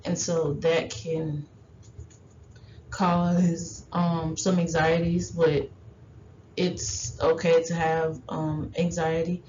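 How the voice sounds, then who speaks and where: A woman whose first language is Haitian Creole gives parenting advice in a loose, rambling presentation. Her low voice moves slowly, full of ums and restarts. The recording is dull and lo-fi, with a faint hiss underneath. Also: level tone, a little mellow. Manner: rambling, many fillers and restarts. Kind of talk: informal class presentation.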